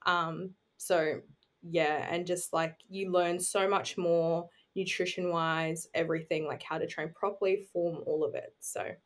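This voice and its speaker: A woman's voice: on the low side, steady tone, a little slow. A woman, chatting informally as a podcast guest and telling her own story. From New Zealand, raised on Australia's Gold Coast. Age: 20s. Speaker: podcast guest, bodybuilding competitor. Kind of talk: casual chat, personal storytelling.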